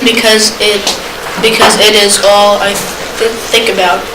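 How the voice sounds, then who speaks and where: A woman's voice: mid-range voice, flat delivery, a little slow, close to an everyday voice. Echoey woman playing an earnest young enslaved man. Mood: resigned. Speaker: student actor. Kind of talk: amateur readers' theater reading, halting. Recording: echoey room from far off, noisy.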